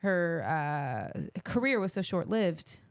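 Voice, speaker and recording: A woman's voice: fairly low pitch, sing-song, slow pace, no echo, clean studio sound. A middle-aged woman co-hosting a podcast, trading casual banter in a blunt, informal way. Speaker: podcast co-host.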